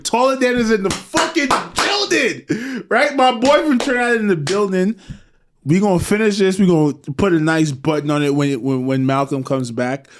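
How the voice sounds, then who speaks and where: Tipsy American male podcast co-host, animated storyteller, lively high-ish voice. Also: a little hiss or hum. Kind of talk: casual chat.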